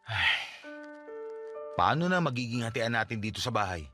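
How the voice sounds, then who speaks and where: A man's voice: fairly low pitch, big pitch swings, normal speed, bright, forceful tone, soft background music. A man playing a cold, exasperated husband in a radio drama, speaking curtly. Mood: impatient. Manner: curt, exasperated. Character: cold, irritated husband pushing to end his marriage. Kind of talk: radio drama dialogue in Tagalog.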